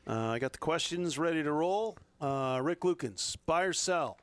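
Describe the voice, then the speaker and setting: A man hosting a sports talk radio show, briskly running a quick question segment. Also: fairly high pitch, expressive.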